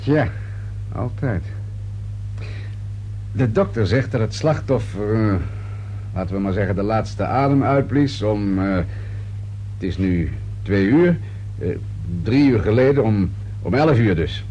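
An actor playing a polite, unhurried police detective who questions in a casual, hesitant, probing way in a radio drama. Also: male voice, on the low side, lively intonation, mellow. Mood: casually probing. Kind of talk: Dutch radio drama acting. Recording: noisy old radio recording with hum.

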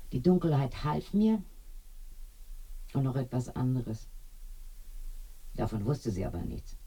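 A garrulous older village woman in a radio play. Her husky, mellow voice swings up and down as she wanders through confiding memories. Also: very deep voice, exaggerated voice, rough recording. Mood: wistful, confessing. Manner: garrulous, digressing storyteller. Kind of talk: German radio play acting.

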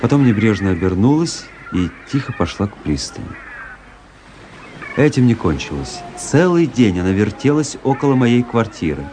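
Radio drama lead: ironic young officer-narrator, low register, measured literary delivery, noisy recording. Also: male voice, big pitch swings, a little mellow. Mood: fascinated, observing. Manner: measured, reflective storytelling.

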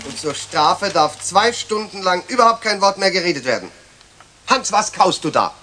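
A man's voice: high voice, lively intonation, moderate pace, noisy background. An actor playing a stern, sarcastic schoolteacher who scolds his class in a 1970s children's radio play. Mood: strict. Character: stern, scornful male schoolteacher, irritated and strict.

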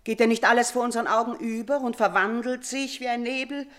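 A woman's voice: on the high side, steady tone, quick pace, a bit bright, close to an everyday voice. A woman playing a middle-aged queen and mother, haunted and domineering, in a theatrical classical German radio drama, shifting between murmurs and outcries. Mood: troubled, brooding. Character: haunted, imperious queen and mother, uneasy with guilt.

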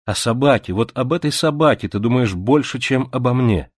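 A man's voice: lowish voice, normal speed, lightly acted. A man reading aloud who voices a passionate, jealous young woman, pleading with rising, urgent cries.

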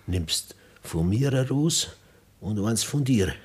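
A dying farmer in an old radio play, with a very deep voice. He talks slowly and calmly, husky and resigned, at peace with his end. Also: full character voice. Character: dying Bavarian peasant man, calm, resigned. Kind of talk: 1960s radio drama acting.

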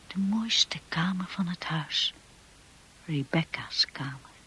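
An actress playing a shy, insecure young wife in a radio drama, with a hushed, breathy voice and a timid, hesitant way of talking. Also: female voice, fairly low pitch, lively intonation, slow, a little hiss or hum, heavily acted. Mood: wistful, uneasy. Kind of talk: radio drama narration and acting.

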